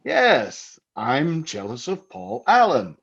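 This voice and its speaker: Guy acting teasing sinister husband in a radio play, really animated, slightly dull recording. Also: medium pitch, slow, husky, heavily acted. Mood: taunting. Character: jovially menacing husband, keen hunter, teasing with murder talk. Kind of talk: old-time radio drama acting.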